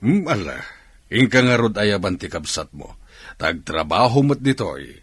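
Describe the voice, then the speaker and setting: A man with a Filipino accent playing an observant, businesslike factory boss in a radio drama, speaking with expressive authority. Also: on the low side, measured pace, slightly rough, dry recording, full character voice.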